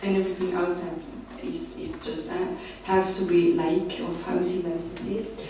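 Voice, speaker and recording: A woman's voice: fairly low pitch, flat delivery, a little slow, background noise. A young woman from Belgium presenting her research at a panel table, with many fillers and restarts. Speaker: researcher presenting at a summer school. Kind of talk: academic conference presentation. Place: large lecture hall, seated at a panel table. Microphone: table mic, recorded by a far camera. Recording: very echoey hall, voice far from the mic.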